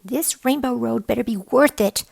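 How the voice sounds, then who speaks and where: A woman's voice: fairly high pitch, moderate pace, creaky, a little mellow, voice pushed up. A woman voicing questioning, incredulous kid adventurers in a storybook reading. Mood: grumbling.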